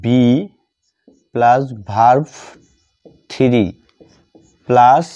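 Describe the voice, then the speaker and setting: Slow, dark-voiced Bengali man teaching. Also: medium pitch, fairly even intonation, clear, resonant tone, lo-fi sound. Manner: deliberate, repetitive, spelling out each phrase. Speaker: English grammar teacher. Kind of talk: grammar lesson, dictating while writing.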